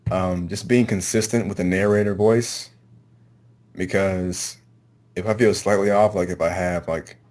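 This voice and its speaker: A man with a low-pitched voice gives casual interview answers. He is low-key and a little hesitant, feeling his way through each reply. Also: fairly even intonation, unhurried, a little mellow. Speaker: amateur voice actor, interview guest.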